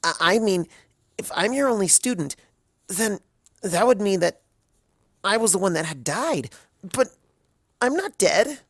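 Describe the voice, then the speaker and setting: A man's voice: sits high, expressive, a little slow, pauses often, fairly bright tone, dry recording, studio quality, pitched above the performer's own voice, heavily acted. A man voicing a young female pony student, frightened and pleading, with a stammering, tearful delivery. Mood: anxious disbelief.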